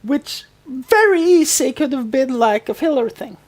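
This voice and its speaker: A woman's voice: high voice, expressive, unhurried, a little mellow. A woman co-hosting a podcast, casually recapping a TV show in loose, hesitant phrases. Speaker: podcast co-host.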